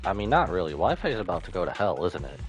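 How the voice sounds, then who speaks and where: A man with a low voice that moves around a lot in pitch, giving wry running commentary on a game. He talks casually in slang and swears freely in his asides, with faint music behind him. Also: big pitch swings, fast. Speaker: streamer reacting to a game story.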